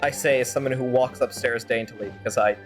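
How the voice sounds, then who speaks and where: Casting: game streamer, mid-range pitch, rambling and sardonic, talking over faint game music. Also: fast. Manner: animated, rambling, sardonic asides. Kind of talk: playthrough commentary with fan-dub character reading. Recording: music behind the voice.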